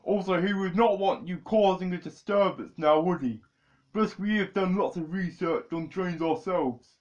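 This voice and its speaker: A man voicing a smug villain who quietly threatens two young men. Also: sits high, moderate pace, muffled recording, voice pushed up, lightly acted.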